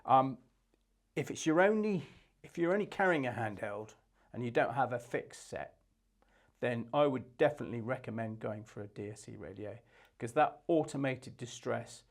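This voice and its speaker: A man's voice: medium pitch, expressive, moderate pace, gravelly. Matter-of-fact man presenting products. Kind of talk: unscripted product review presentation.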